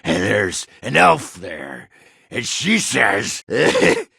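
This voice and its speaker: A man playing a crude, boozy, belligerent dwarf warrior in a video game, with a raspy, guttural voice and a coarse, blustering, swaggering manner. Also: lowish voice, huge pitch swings, drawn-out words, sharp tone, no room sound, clean studio sound, full character voice. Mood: drunken amusement. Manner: coarse, blustering, swearing, slurred drunken swagger. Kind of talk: video game character acting.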